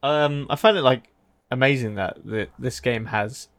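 A man co-hosting a casual podcast, easygoing and quick to agree. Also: medium pitch, fairly bright tone. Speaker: podcast co-host.

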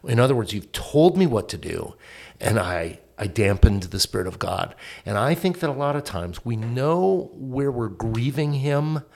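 A man's voice: medium pitch, animated, unhurried, creaky, a little mellow. A man on a faith podcast speaking reflectively, telling a story with hesitant fillers. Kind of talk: casual faith podcast chat.

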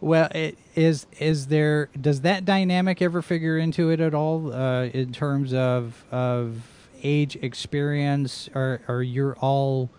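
A man's voice: a little high, fairly even intonation, slow pace, few pauses, darkish tone, smooth. A man interviewing guests, asking long, searching questions full of restarts.

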